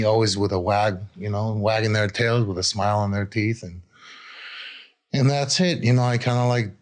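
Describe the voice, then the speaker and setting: Very deep-voiced man, reflective interviewee. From Israel. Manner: halting and reflective, often adds "you know". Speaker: addiction recovery coach, interview guest. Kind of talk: candid personal storytelling in an interview.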